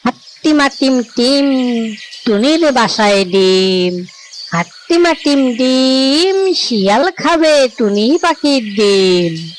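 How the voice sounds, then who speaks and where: A man's voice: extremely high pitch, very animated, slow, slight background noise, pitched above the performer's own voice, heavily acted, poor audio. A man voicing a sly, gloating fox in a children's cartoon, with a sing-song manner. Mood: gleeful chanting.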